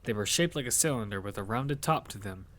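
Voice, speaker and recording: A man's voice: lowish voice, expressive, brisk, very noisy, lightly acted. A man narrating an audio fiction survival story in character, as one who tells of a battle. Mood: storytelling.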